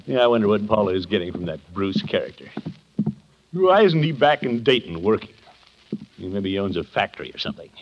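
An actor playing a pompous, fretful middle-aged uncle in a 1950s radio sitcom, blustery and muttering. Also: male voice, on the low side, very animated, brisk. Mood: grumbling, brooding. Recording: muffled, noisy vintage radio recording.

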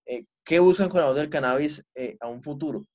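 A man with a Colombian Spanish accent hosting a casual interview over a video call, relaxed, asking open questions and laughing often. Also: a little high, fairly quick. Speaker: podcast host.

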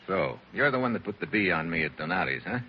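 Hard-boiled American agent, lively delivery. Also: male voice, sits low, fast, husky, exaggerated voice. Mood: wry, cool. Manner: hard-boiled, slangy, wisecracking. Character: wry, tough male American secret agent, unflappable. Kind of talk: 1940s radio drama acting. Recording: dull, noisy old radio recording.

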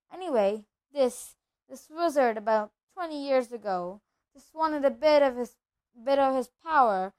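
A woman voicing a gruff but kind-hearted giant man with folksy, emphatic speech in a storybook reading. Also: sits high.

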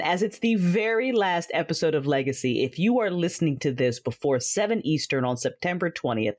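A woman hosting her podcast, chatting warmly through closing notes and credits. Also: deep voice. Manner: friendly, chatty, reads credits. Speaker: podcast creator and host. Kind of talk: casual closing announcements and credits. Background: music.

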